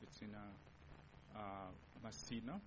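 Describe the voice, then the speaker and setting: A young man from the Democratic Republic of Congo with a Congolese accent, whose first language is Lingala, giving a missionary presentation to a church and searching for words with many restarts. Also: slow pace. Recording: dull recording with steady low rumble.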